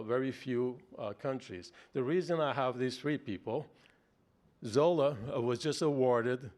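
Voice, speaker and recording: Middle-aged man moderating a conference panel. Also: mid-range voice. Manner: halting, many fillers. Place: conference stage before an audience. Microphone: podium microphone.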